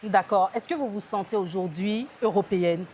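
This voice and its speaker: A woman interviewer asking brisk questions and acknowledging answers with short replies. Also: medium pitch, dark tone, a little hiss or hum.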